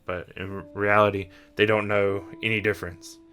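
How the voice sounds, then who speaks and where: A man's voice: lowish voice, flat delivery, moderate pace, fairly bright tone, close to an everyday voice. A man voicing a weary, kindly creature guide in a tabletop roleplay, sighing and matter-of-fact. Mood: earnest, hopeful.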